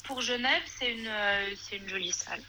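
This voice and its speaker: A woman's voice: medium pitch, moderate pace, sharp tone. A woman from Switzerland, a singer chatting casually and enthusiastically as a guest over an internet call. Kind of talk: casual chat. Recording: noisy remote call.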